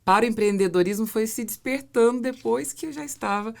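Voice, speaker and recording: A woman's voice: on the high side, brisk, vocal fry. A woman with a Brazilian accent hosting a podcast, speaking in a casual, conversational interview style. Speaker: podcast host.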